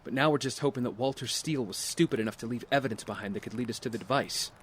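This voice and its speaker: A man's voice: mid-range voice, racing, breathy edge, natural voice. A man acting in an audio drama as an earnest, skeptical older brother who leads a team. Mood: concerned. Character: serious, cautious big brother leading a team. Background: music.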